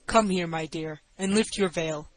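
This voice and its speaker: A woman's voice: medium pitch, lively intonation, moderate pace, a bit bright, no room sound, rough recording. A woman voicing a kindly, authoritative male judge in an audiobook. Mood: kind, paternal. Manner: authoritative, paternal. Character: kindly male judge, harsh-toned at first, soft-hearted.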